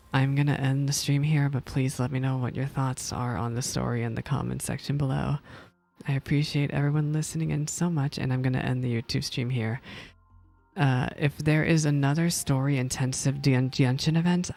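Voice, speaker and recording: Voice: medium pitch, darkish tone. A game streamer giving casual live reaction commentary in a chatty, exclamatory way.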